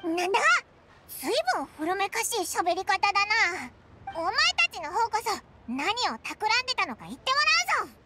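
A woman voicing a small childlike fairy companion in an anime game, squeaky, cheeky and blunt, with brash boyish phrasing. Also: expressive, normal speed, very noisy. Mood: suspicious. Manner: brash, boyish informal speech, exclaiming. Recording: studio voice over game music. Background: background music.

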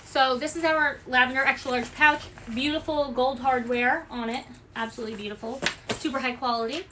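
A chatty woman with an Italian-American Bronx accent talks casually on a livestream, reading out orders and viewer comments. Her voice sits a little high. Steady background noise and clicks from a small office fill the recording. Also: fairly quick, low-quality recording. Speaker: livestream co-host packing orders.

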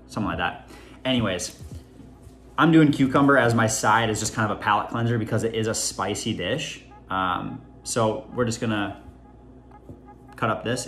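A man's voice: on the low side, fairly bright tone. A young man chatting casually while he cooks in a home kitchen, rambling with playful asides. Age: young adult. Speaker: home cooking vlogger. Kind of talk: casual cooking vlog chat. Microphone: camera on the counter, moving around the kitchen. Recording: clear background music under the voice.

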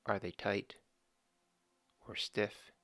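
A man slowly and calmly guiding a body scan meditation, giving gentle step-by-step instructions with long pauses. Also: no echo. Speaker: meditation guide.

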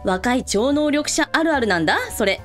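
A woman's voice: high-pitched, lively intonation, normal speed, soft background music. A woman playing a kindly, breezy and matter-of-fact female hotel owner in a radio drama. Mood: breezy, reassuring.

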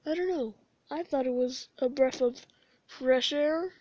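A woman voicing a laid-back, weary skeleton brother in a comic dub, with a casual, low-key delivery. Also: a little slow, mellow, dry recording, dull audio. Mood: amused, relaxed.

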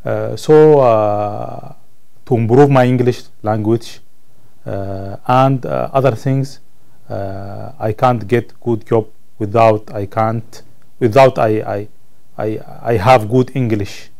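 A man in his thirties from Sudan, a native Arabic speaker with a Sudanese Arabic accent, answering interview questions as a student in halting English, searching for words. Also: fairly low pitch, steady tone, vocal fry, a little mellow. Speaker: college ESL student being interviewed. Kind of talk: interview answers about own life. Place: indoor room, seated on camera.

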